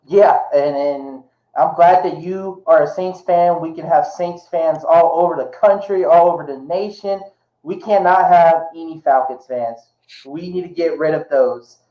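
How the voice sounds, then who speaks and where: A man's voice: fairly high pitch, measured pace, roomy sound, lo-fi sound. A man hosting a podcast, speaking casually and stumbling over repeated words. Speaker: podcast host.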